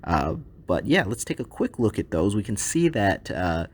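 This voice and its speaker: A man whose first language is English, teaching a recorded slide lesson in a relaxed way with frequent ums and uhs. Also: on the low side, normal speed, slightly rough.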